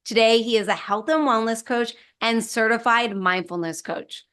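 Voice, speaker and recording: A woman's voice: a little high, normal speed, a bit bright, clean tone. A woman hosting a podcast, reading an upbeat introduction and then asking her guest questions with enthusiasm. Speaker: podcast host.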